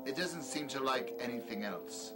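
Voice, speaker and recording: A man acting out a mad scientist in a horror radio play, cruel and condescending. His pitch leaps about as he rants and sneers, then drops to grandiose muttering. Music plays clearly behind him. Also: animated, moderate pace, lightly acted. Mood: grandiose lecturing. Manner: ranting, sneering, swinging between outbursts and mutters. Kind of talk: theatrical horror audio drama acting.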